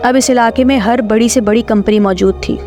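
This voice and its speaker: A woman's voice: fairly high pitch, fairly quick. A woman reading a scripted horror story as its narrator. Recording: clear music bed under voice.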